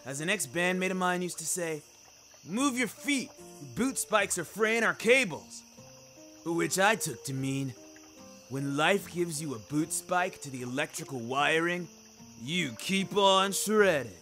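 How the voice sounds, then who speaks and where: A man's voice: fairly high pitch, animated, measured pace, bright, forceful tone, faint music behind, pitched above the performer's own voice, exaggerated voice. A man voicing an upbeat male werewolf in a fiction podcast, a rocker dude with eager, slangy, emphatic delivery. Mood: excited, goofy.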